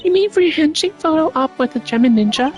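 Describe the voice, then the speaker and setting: A performer voicing a cheerful, curious, excitable young girl character in a video game, eager and exclaiming. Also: high-pitched, quick pace, soft background music, voice pushed up. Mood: bright, curious.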